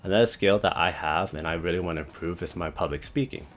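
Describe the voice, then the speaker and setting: A young man talking casually to the camera about starting a channel, with a deep, monotone voice, rambling with lots of "like" and "you know". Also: quick pace, slight background noise. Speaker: YouTube creator. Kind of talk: casual talking-head vlog.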